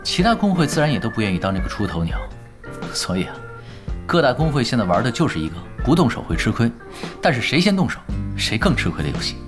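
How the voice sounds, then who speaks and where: An actor as a laid-back, lively-pitched young gaming master. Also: male voice, close to an everyday voice. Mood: calm, explaining. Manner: casual, unhurried, lightly teasing. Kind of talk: audio drama acting. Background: background music.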